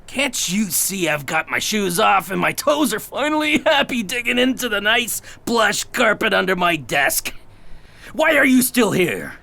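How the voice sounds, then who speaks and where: An actor playing an irritable, eccentric agency chief who blusters and rambles in a comic sci-fi audio play. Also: male voice, sits high, sing-song, a little slow, gravelly, sharp tone, full character voice. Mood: irritated, barking.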